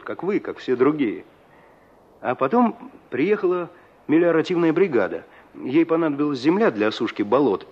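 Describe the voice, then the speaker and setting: A gruff but good-natured village forest warden in an old Soviet radio play. His middle-pitched voice is plain-spoken and conversational, on a slightly muffled recording.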